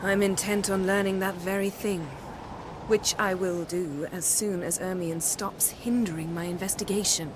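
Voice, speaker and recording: An actress playing a haughty, self-assured sorceress in a video game, with formal, clipped, commanding speech. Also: female voice, medium pitch, steady tone, normal speed, background noise, natural voice. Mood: cool, determined. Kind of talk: dramatic video game dialogue. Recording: game scene with loud background ambience.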